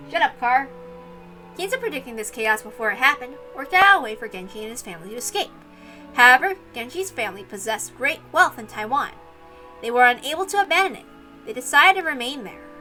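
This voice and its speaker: A woman's voice: fairly high pitch, bright, forceful tone, rough recording. A woman reading a visual novel aloud as narrator, breaking into casual, chatty asides.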